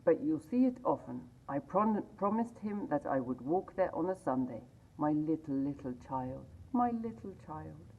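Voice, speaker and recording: A woman voicing a grieving poor father who speaks tenderly and haltingly. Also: low-pitched, animated, husky, full character voice. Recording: tinny phone-like sound.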